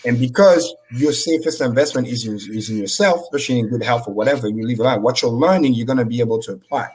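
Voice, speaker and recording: A man with a middle-pitched voice whose first language is French talks in a casual, high-energy way. He preaches self-improvement on a livestream and swears freely. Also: fast talker, low-quality recording. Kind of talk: casual motivational talk.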